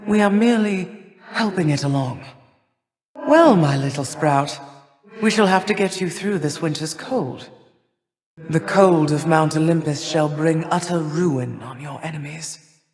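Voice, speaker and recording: A woman playing an imperious, cold older goddess of winter and harvest in a video game, with stately, formal, measured delivery. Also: sits low, a little mellow. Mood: bleak, solemn. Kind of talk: theatrical video game character lines. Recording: long reverberant hall echo.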